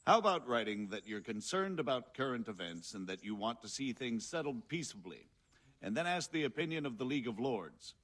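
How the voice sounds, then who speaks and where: An actor playing a shrewd, diplomatic medieval margrave in a game cutscene, with formal, deliberate speech. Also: male voice, mid-range voice, very animated, fairly bright tone. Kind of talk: video game cutscene acting.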